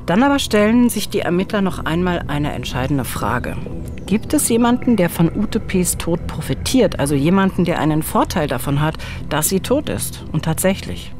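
A woman's voice: fairly low pitch, sing-song, normal speed. A woman in her forties co-presents a crime story. Her narration is scripted yet conversational. She speaks into a studio microphone, with music in the background. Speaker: true-crime show co-presenter. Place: indoor radio studio. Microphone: studio boom microphone.

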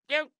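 A woman's voice: high-pitched, sharp tone, no echo, clean studio sound, exaggerated voice. A woman voicing a poor, anxious mother in a fairy-tale video game, melodramatic and fretful. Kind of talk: video game character acting.

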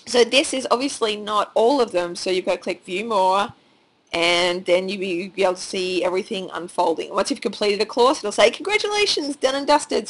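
An Australian woman with a cold and a nearly lost voice, chatting excitedly and rambling with many fillers as she walks through her site at home. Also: mid-range voice. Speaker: online course creator. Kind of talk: casual screen-share walkthrough. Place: at home with children nearby.

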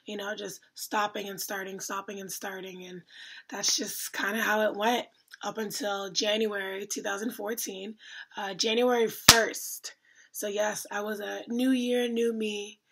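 A woman's voice: medium pitch, measured pace, rough recording. A woman in her twenties casually telling her own fitness story to the camera from a small room at home. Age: 20s. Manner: chatty, lively, acts out her own past remarks. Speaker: fitness vlogger. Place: small indoor room at home, curtains behind.

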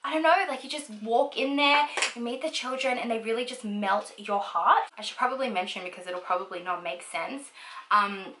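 A woman's voice: on the high side, moderate pace, pushed and bright, roomy sound, slight background noise, low-quality recording. A woman in her twenties, from Australia, chatting casually about herself while doing her makeup, full of likes and ums. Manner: chatty, lots of likes and ums, laughs easily; talks while applying makeup. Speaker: beauty vlogger. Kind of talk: casual get-ready-with-me chat. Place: small indoor room at home.

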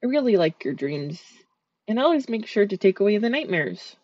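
Soft-spoken dream eater spirit, voiced by a woman. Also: medium pitch, sing-song, brisk, no echo, muffled recording. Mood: gentle, soothing. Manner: soft, gentle, soothing. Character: sweet shy female dream eater spirit. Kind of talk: ASMR sleep-aid roleplay.

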